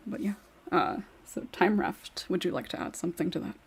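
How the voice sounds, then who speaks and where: A woman's voice: lowish voice, animated, a little fast, husky. A woman hosting a casual podcast discussion as its moderator.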